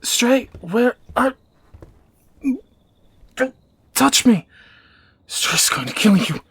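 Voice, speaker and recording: A man's voice: very high voice, big pitch swings, drawn-out words, a bit bright, breathy edge, no room sound, exaggerated voice. A man playing a tender, wry young thief in a romantic audio roleplay, teasing and intimate, often dropping toward a whisper. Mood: panicked, defiant. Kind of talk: romantic audio roleplay, one side of a dialogue.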